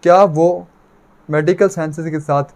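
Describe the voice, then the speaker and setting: A man's voice: on the high side, fairly even intonation, a little slow, a little mellow. A man with a Pakistani accent giving an explanatory law lecture, mixing in English legal terms, posing questions and answering them himself, and repeating phrases for emphasis. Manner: didactic, asks rhetorical questions and answers them.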